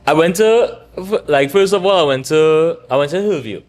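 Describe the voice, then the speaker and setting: A man in his thirties from Trinidad, with a Trinidadian accent, talking relaxed and rambling as a barbershop owner in an interview. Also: on the high side, normal speed. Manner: relaxed, rambling with restarts and laughs. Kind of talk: casual interview chat.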